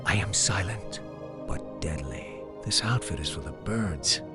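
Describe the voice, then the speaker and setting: A brooding, secretive elf druid from a video game. His deep voice is hushed and deadpan, taking its time over clear music. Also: unhurried. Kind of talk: video game unit barks.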